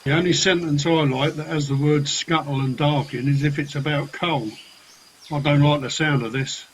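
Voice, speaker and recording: Man as cheeky spiv, fairly high voice, colloquial, defensive, comic radio play, faint noise. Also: fairly even intonation, normal speed, lightly acted, low-quality recording. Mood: wary. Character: cheeky, defensive wheeler-dealer crook.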